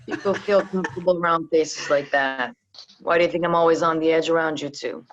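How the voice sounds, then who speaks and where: A woman reading a calm, menacing female crime boss at a pilot table read, who speaks quietly and slips into threatening whispers. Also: low voice, brisk, lo-fi sound, lightly acted.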